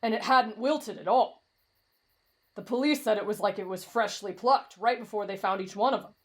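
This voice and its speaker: A woman's voice: mid-range voice, moderate pace, pitched below the performer's own voice, natural voice. A woman voicing a brash, cocky young man with a morbid streak and a blunt, boastful manner in an anime fan audio roleplay. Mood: eerie storytelling.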